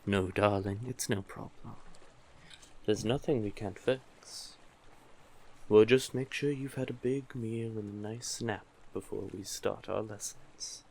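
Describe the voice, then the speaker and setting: A man's voice: mid-range voice, darkish tone, low-quality recording. A man voicing a doting, possessive, manipulative male sea witch in an intimate audio roleplay, coaxing the listener tenderly. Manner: coaxing, unhurried, tender with pet names.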